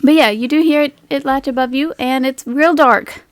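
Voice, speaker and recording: A woman's voice: high voice, normal speed, no echo. A woman running a tabletop game as game master, casually narrating and teasing her players.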